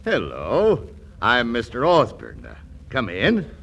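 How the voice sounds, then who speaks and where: An actor playing a lecherous, flirtatious, silly playboy in a 1940s radio comedy. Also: male voice, mid-range voice, wildly swooping pitch, slow pace, creaky, fairly bright tone, dull audio, a little hiss or hum, exaggerated voice. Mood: flirtatious, wheedling. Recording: old 1940s radio broadcast.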